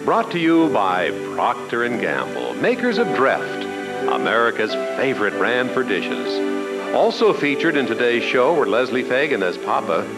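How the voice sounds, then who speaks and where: A man's voice: mid-range voice, unhurried, over loud music, rough recording. A man announcing the closing credits of an old-time radio show on a hotel ballroom stage. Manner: announcer cadence, lively.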